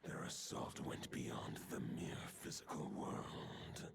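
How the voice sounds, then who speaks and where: An actor voicing an ancient, solemn wolf god in a video game, with a gravelly growl and archaic formal speech. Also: male voice, extremely low pitch, slow, heavy background noise, heavily acted.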